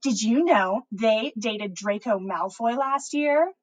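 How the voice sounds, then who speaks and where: A woman's voice: fairly high pitch, slow. A woman voicing a boastful, boy-crazy teenage witch schoolgirl who gushes and stretches out her words for emphasis in a story reading. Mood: boastful, gossipy.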